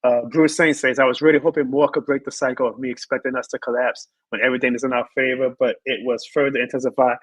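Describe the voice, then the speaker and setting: A hoarse man who has lost his voice, hosting a live sports show and ranting with emphatic repeated lines. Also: medium pitch, flat delivery, quick pace, hardly any pauses, no echo, low-quality recording. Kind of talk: live sports rant and chat reading.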